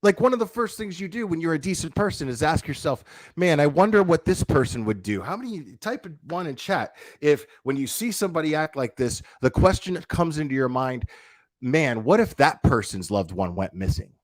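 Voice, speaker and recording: A man's voice: on the high side, very animated, moderate pace, dry recording. Blunt, profane man ranting, dry close sound. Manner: emphatic repetition and rhetorical questions. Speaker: online commentator, livestreamer. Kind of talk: livestreamed opinion rant.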